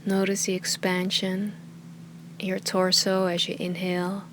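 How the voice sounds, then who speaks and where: A woman teaching meditation with a calm, soothing, unhurried delivery that often trails into breathy softness. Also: fairly low pitch, slight background noise, rough recording. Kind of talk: guided meditation, soft slow instruction.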